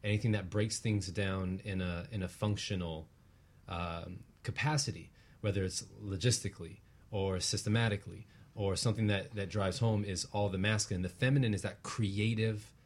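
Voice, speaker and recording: A man speaking as a talk show guest, explaining conversationally in deliberate, emphatic short phrases. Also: on the low side, steady tone.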